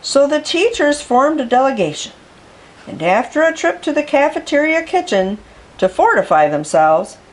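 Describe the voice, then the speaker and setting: A woman reading a picture book aloud to children in an expressive way, pausing to explain words. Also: sits high, poor audio. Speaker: storyteller.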